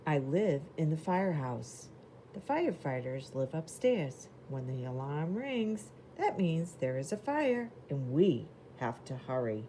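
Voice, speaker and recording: Children's storybook role: eager little fire engine read by a woman, proud, expressive, swooping intonation in a mellow tone. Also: low-pitched, unhurried, a little hiss or hum. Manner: expressive, simple storybook delivery. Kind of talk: children's storybook character narration.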